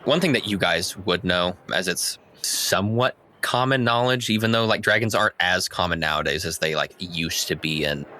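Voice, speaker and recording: A man's voice: low-pitched, moderate pace, hardly any pauses, sharp tone, dry recording. A man acting as game master, narrating a tabletop roleplaying game in a conversational way.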